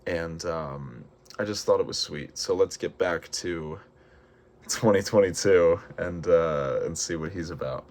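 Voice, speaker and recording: A young man with an American accent and a deep, low-pitched speaking voice. He talks casually and informally, in the style of a personal vlog addressed straight to the viewers. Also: a little slow, a little mellow. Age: early 20s. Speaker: vlogger and amateur musical theater performer. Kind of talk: casual vlog talking to camera.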